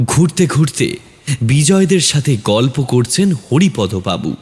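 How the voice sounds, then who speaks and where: A man's voice: medium pitch, big pitch swings, unhurried, darkish tone. A man narrating a scripted horror story in a dramatic, suspenseful manner.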